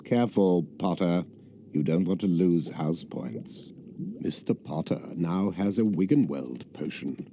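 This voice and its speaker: An actor with a British accent playing a stern, condescending teacher of potions at a wizard school in a video game, speaking slowly and deliberately. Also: male voice, sits low, dark tone, a little hiss or hum. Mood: sneering, commanding. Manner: slow, deliberate, condescending delivery.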